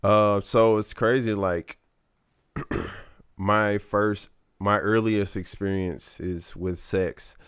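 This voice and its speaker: A man from Saint Louis, a podcast guest, talking thoughtfully with frequent ums and pauses. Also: lowish voice, fairly even intonation, a bit bright, clean tone, no room sound, studio quality. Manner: thoughtful, hesitant, many ums. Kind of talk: casual conversation.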